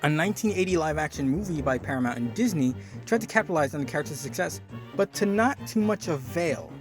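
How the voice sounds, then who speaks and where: A man's voice: on the high side, expressive, fast talker, over loud music. A man hosting a video essay, narrating from a script with wry, conversational asides.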